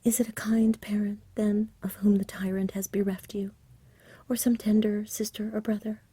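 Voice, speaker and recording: A woman's voice: medium pitch, level tone, moderate pace, husky, no echo, voice pushed up, lightly acted. A woman voicing a kindly, solemn, chivalrous male saint in a whispered ASMR storybook reading. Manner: solemn, old-fashioned, gentle.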